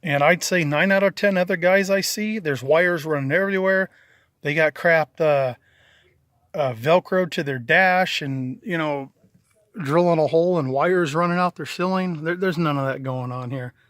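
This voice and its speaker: A man's voice: on the high side, normal speed, fairly bright tone, no room sound, clean studio sound. A middle-aged man giving a casual video walkthrough as a DIY installer, talking in a plain-spoken, matter-of-fact, folksy way. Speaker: DIY installer presenting own work. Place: in and around a parked vehicle.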